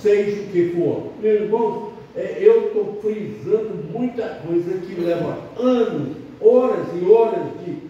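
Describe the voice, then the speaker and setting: A man's voice: sits high, measured pace, a little hiss or hum. An older man preaching a sermon in a church, a foreign-born pastor speaking a learned language, emphatic and repetitive. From abroad, not Brazil. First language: not Portuguese. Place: church hall. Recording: very echoey church hall, distant muffled mic.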